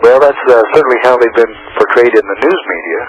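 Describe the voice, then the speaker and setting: A man in his forties from Arizona, a county sheriff with a hoarse voice from a cold, talking haltingly with many fillers as a guest. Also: mid-range voice, animated, lo-fi sound. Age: 40s. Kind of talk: phone-in interview.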